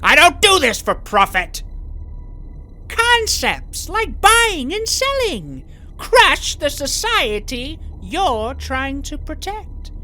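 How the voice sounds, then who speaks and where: A woman voices a pompous, menacing villain for a comic dub, an idealist locked away. The delivery is slow and very animated, in a high voice. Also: exaggerated voice. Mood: menacing zeal. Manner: pompous, philosophising. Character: imprisoned mastermind villain, smug, idealistic and menacing. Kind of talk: theatrical comic dub acting.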